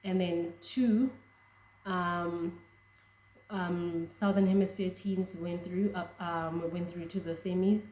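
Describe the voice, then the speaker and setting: Chatty South African woman, low warm voice. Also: slow, reverberant, low-quality recording. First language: Tswana. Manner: excitable, chatty, laughs often, many restarts and fillers. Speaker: rugby fan vlogger. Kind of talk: casual reaction chat.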